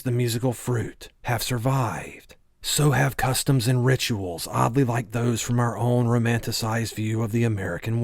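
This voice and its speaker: Male audiobook narrator, mid-pitched with vocal fry, solemn fantasy storytelling, dry sound. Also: a little mellow, no echo. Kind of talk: scripted audiobook narration of a fantasy novel recap.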